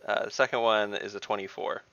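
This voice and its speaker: Gravelly low-pitched man playing a tabletop game. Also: fairly even intonation, fairly quick, background noise. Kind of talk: casual online game chat. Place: online voice call. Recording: dull lo-fi mic.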